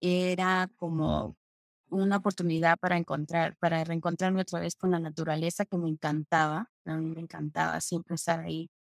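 A young woman from Peru telling stories about her childhood in a relaxed interview, slipping in English phrases. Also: on the low side, moderate pace, gravelly, dry recording, studio quality. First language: Spanish. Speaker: guest. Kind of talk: conversational interview.

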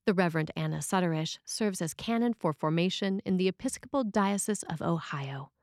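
A woman delivers a prepared sermon. Her voice sits somewhat low for a woman. The recording is close and dry, with very little room sound. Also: expressive, fairly quick, a little mellow, dry recording. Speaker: preacher reading a written sermon. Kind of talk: scripted sermon reading.

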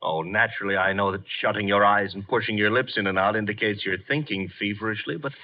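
An actor playing a cheeky detective's assistant in a 1950s radio drama, sarcastic and mock-deferential. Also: male voice, on the low side, quick pace. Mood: brisk, wry. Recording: muffled vintage 1950s radio recording.

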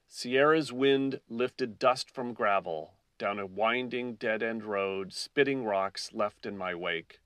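A man's voice: on the low side, fairly bright tone, no room sound, far from the mic. A man hosting a poetry podcast, reading verse aloud, recorded a little away from the mic. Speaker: podcast host and poet.

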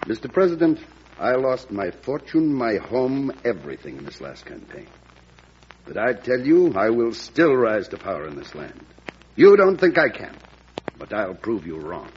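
An actor playing a proud, bitter disgraced politician in a radio drama, with a husky voice, formal intense delivery. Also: male voice, medium pitch, sing-song, moderate pace, darkish tone. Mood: bitter, defiant. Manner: formal, intense, self-justifying. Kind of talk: old-time American radio drama acting. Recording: dull vintage radio recording.

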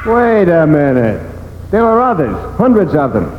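An actor playing the solemn, insistent ghost of a ballplayer killed at war, declaiming in 1940s radio style with ghostly reverb. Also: male voice, fairly high pitch, big pitch swings, a little slow, over loud music. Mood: solemn, challenging. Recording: dull vintage radio, echoey reverb, noisy.

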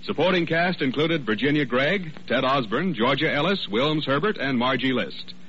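A man's voice: mid-range voice, lively intonation, normal speed, a bit bright, a little hiss or hum. A man with an American accent announcing a scripted radio drama in a vintage broadcast style. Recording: dull old radio recording. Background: music.

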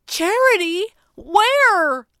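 A woman voicing an upset young man in a story, sputtering and protesting loudly at his parents. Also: a little high, slow pace, dry recording, clean studio sound, pitched above the performer's own voice. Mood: loud, exasperated. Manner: sputtering, loud, exclaiming.

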